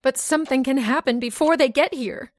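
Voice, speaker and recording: A woman's voice: high-pitched, fairly quick, dry recording, close to an everyday voice. A woman playing a frightened, fraught heroine of an old-time radio horror play, hesitant and melodramatic. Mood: fearful.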